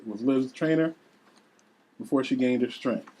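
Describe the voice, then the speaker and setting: A man with a husky voice reading screenplay directions aloud as narrator. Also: medium pitch, unhurried, dark tone, poor audio.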